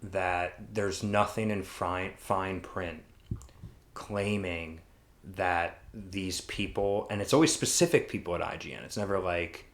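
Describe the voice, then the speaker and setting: A man's voice: low-pitched, measured pace. A man co-hosting a podcast, talking casually and giving his opinions in an informal way with rhetorical questions. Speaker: podcast co-host. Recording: roomy, echoey sound.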